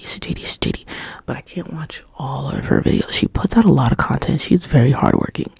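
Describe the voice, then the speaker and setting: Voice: medium pitch, creaky, darkish tone, slightly rough, no echo. A young adult ASMR creator whispering a rambling chat at home, often repeating words over and over as triggers. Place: home indoors, people upstairs.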